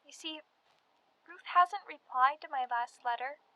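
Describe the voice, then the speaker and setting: A young actress as a 1918 college girl in a period radio drama, with a high, bright voice. She is chatty and confiding, yet worn out and frightened underneath. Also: unhurried, dry recording. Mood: haunted, uneasy. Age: young adult. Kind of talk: dramatized letter monologue, period radio play.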